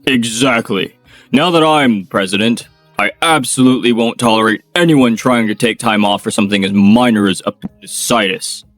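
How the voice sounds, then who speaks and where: A man voicing a bullying middle-aged company president in a manga dub, with a gruff, contemptuous manner and a lower, rougher voice than his own. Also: moderate pace, a bit bright, faint music behind, lightly acted. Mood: smug.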